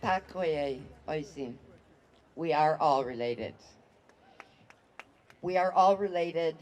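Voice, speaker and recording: A woman human rights activist giving a slow, solemn rally speech into a microphone outdoors, building to shouted calls to the crowd. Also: low-pitched. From United States. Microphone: public address microphone.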